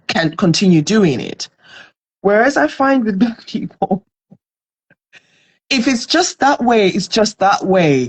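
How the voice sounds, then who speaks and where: A woman's voice: medium pitch, slightly rough. A woman from Zimbabwe, a guest in a conversational interview, explaining earnestly with everyday examples.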